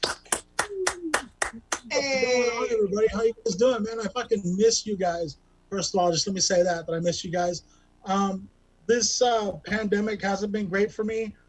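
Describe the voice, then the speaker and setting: A man's voice: high-pitched, unhurried, poor audio. A man in his thirties from California doing a self-deprecating stand-up set over a video call, rambling with lots of ums and restarts. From Bakersfield, California. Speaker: stand-up comic.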